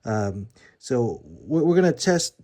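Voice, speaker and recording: A man's voice: mid-range voice, sing-song, takes it slowly, dark tone, slight background noise. A man giving a casual, hands-on gear demonstration, informal and a little hesitant as he thinks aloud. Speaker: gear reviewer. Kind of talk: casual unboxing and setup demonstration.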